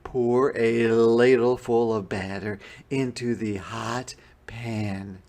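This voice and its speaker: A man reading a children's story, voicing a calm mother who gives patient, deliberate instructions. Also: medium pitch. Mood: patient, instructing. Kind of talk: children's read-aloud.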